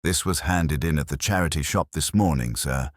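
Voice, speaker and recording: A man's voice: extremely low pitch, moderate pace, no echo, studio quality. A man voicing a sheepish, deferential police constable in a detective story, reporting in clipped sentences.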